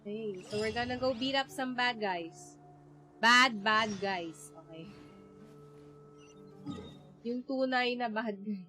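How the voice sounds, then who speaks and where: A woman's voice: a little high, slow pace, pauses often, sharp tone, soft background music. A woman with a Filipino accent giving casual game livestream commentary, switching between two languages. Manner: code-switches between English and Tagalog.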